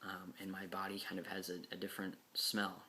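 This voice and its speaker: A young man talking frankly and casually to camera, with many pauses and repeated words. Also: low voice, completely flat delivery, bright, forceful tone. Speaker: speaker answering class questions.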